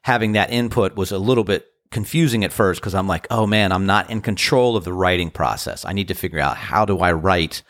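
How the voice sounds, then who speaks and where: A man in his fifties talks in a very low, dry voice, close and free of room sound. He rambles warmly through a reflective podcast monologue, his voice still tired and deep after a show. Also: a little fast, hardly any pauses, dry recording. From Washington DC area. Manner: earnest, rambling, grateful storytelling. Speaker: podcast host and band musician.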